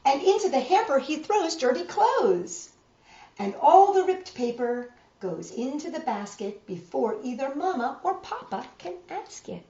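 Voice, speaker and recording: Lady doing kids storytime, slow and kinda high voice, talks right to the little ones, dull roomy audio. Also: big pitch swings, dark tone. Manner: addresses young listeners directly with questions. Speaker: children's storytime host. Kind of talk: children's storytime read-aloud and chat.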